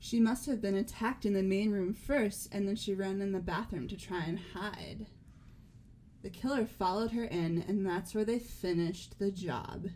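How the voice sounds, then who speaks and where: Woman doing practical student character in a game, warm mellow voice, bit of hiss behind. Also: mid-range voice, normal speed, natural voice. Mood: matter-of-fact. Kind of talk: amateur video game voice acting.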